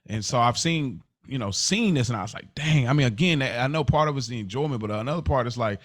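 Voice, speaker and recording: An American man hosting a casual podcast discussion, telling stories in an animated way, acting out quotes and repeating phrases for emphasis. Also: medium pitch, fast, no room sound, clean studio sound. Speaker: podcast host.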